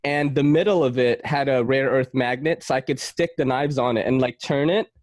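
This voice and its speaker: A man in his late thirties hosting a podcast, chatting casually in a slangy, animated way and laughing often. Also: medium pitch, normal speed, no room sound, studio quality. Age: 30s. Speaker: podcast host. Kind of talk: casual chat.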